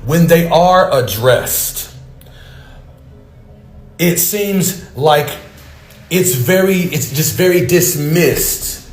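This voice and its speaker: Slow, roomy-sounding male commentator. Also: medium pitch. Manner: emphatic, repeats phrases, halting. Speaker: commentator on a talk channel. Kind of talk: off-the-cuff opinion commentary.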